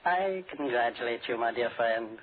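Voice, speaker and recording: An actor as a suave, sly doctor on tinny radio. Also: male voice, medium pitch, expressive, moderate pace, natural voice. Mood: sly, pleased. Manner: formal, insinuating, precise. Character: suave, fussy criminal doctor, coolly courteous schemer. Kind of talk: 1940s radio thriller acting. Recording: tinny, hissy old radio recording.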